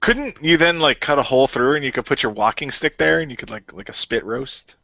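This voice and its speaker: Man, easygoing amused chat, bright voice, dry sound. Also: mid-range voice, fairly quick, creaky, no echo, dull audio. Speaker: cast member of an audio drama. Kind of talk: casual chat.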